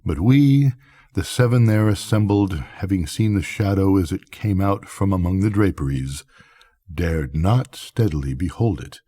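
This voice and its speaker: Deep, slow, husky older man reading. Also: warm tone. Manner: solemn, measured, theatrical delivery. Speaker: storybook reader. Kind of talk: dramatic bedtime reading of a gothic tale.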